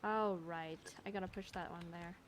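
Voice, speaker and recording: A woman's voice: fairly low pitch, fairly even intonation, moderate pace, a little hiss or hum. A woman giving casual gameplay commentary, muttering to herself and exclaiming in exasperation as she plays. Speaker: gamer recording a playthrough.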